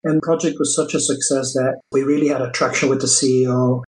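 A man's voice: medium pitch, steady tone, fast, poor audio. A man from Belgium whose first language is Dutch, speaking with a Belgian Dutch accent as an earnest, enthusiastic interview guest who often adds "you know" and "right?". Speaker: guest, HR executive. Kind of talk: interview conversation.

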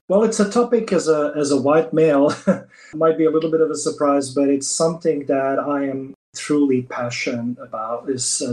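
A man's voice: mid-range voice, a little mellow, rough recording. Belgian man with a Dutch accent. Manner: earnest, enthusiastic, uses "you know" and "right?" often. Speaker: guest, HR executive. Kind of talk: interview conversation.

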